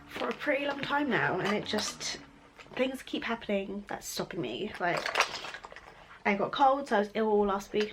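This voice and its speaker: A young woman talks her way through a makeup routine at home, loose and rambling, her voice a touch croaky from a cold. Her pitch sits in a middle range, and a faint hum of room noise runs underneath. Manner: chatty and rambling, laughs often. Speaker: beauty vlogger. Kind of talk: casual makeup tutorial chat.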